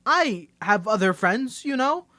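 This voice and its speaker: A man's voice: high voice, big pitch swings, measured pace, pushed and bright, dry recording, heavily acted. A man playing a nervous young guy hiding his superhero identity from his best friend in an ASMR roleplay, stammering through rambling excuses. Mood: defensive teasing. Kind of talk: ASMR roleplay audio drama.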